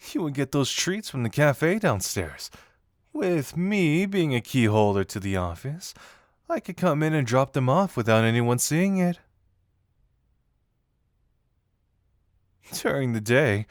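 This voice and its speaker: Flirty, self-deprecating male detective, played by a man, for romantic roleplay audio. Expressive pitch, hesitant and sincere, dry intimate sound. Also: dry recording. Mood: playful reasoning. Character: flirty, self-deprecating detective confessing shyly as secret admirer.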